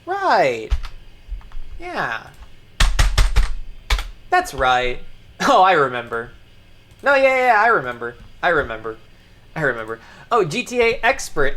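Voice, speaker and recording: A man giving casual, profane running commentary, muttering and then breaking into sudden loud outbursts, his throat strained. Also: a little high, wildly swooping pitch, a little slow, pauses often. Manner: swears a lot, mutters, jumps to loud outbursts. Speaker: streamer reacting to videos.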